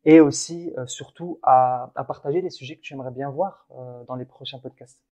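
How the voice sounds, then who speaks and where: A man's voice: mid-range voice, fairly quick, dark tone. A man co-hosting a casual motivational podcast, talking in an earnest, encouraging way with frequent restarts. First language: French. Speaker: podcast co-host.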